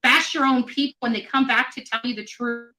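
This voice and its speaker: Woman livestreamer, high-pitched and tense, worn out, defensive and foul-mouthed, venting at critics. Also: steady tone, brisk, pushed and bright. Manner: combative, profane, defensive. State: tired. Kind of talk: angry unscripted livestream rant.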